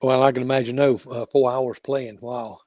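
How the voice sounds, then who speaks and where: Halting male host, dry close sound. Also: mid-range voice, flat delivery, warm tone, no room sound. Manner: halting, many fillers and restarts. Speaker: talk show host. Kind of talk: casual interview chat.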